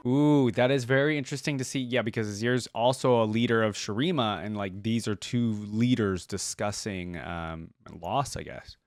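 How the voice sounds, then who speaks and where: A man's voice: on the low side, normal speed, few pauses, faint music behind. A man hosting a reaction video, giving casual, laid-back commentary with lots of "like".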